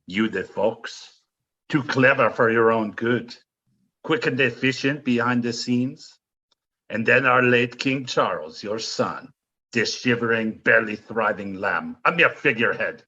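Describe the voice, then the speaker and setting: A villainous French nobleman for an audio play. The voice is sharp-edged and raspy, grandly theatrical and full of mocking relish, with drawn-out, florid phrases. The recording sounds a little dull. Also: medium pitch, full character voice. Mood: taunting, theatrical.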